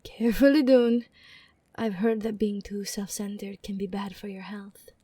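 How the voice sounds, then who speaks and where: A woman playing a gentle, polite but primly chiding Norse goddess in an ASMR roleplay audio drama. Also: medium pitch, fairly even intonation, normal speed, husky, no room sound, close to an everyday voice.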